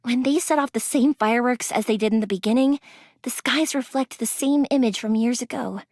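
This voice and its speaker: A bubbly young fireworks maker, high and bright, her voice bouncing all over as she chatters. She giggles easily and softens when a story turns serious. It is a dry, close studio recording for a game. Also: dry recording. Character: cheerful young woman fireworks maker, playful and warm. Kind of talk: game character voice lines. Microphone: close studio mic.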